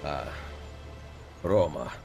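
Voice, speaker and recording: An actor playing an older Italian assassin in a video game, measured and courteous, with an Italian accent. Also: male voice, extremely low pitch, expressive, music in the background, full character voice. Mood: courteous, determined. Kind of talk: video game cutscene acting.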